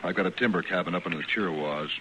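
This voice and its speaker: An actor playing a proud, educated former army captain in a radio western, formal and precise. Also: male voice, sits low, racing, lightly acted. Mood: polite. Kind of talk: old-time radio western acting. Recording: dull, hissy old radio recording.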